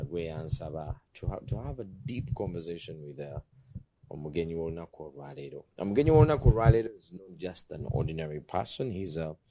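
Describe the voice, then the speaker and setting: A man's voice: low-pitched, mellow, no echo. A man in his thirties with a Ugandan accent, hosting a TV studio interview and mixing English and Luganda in an upbeat way. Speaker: talk show host. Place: indoor TV studio set. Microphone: handheld mic.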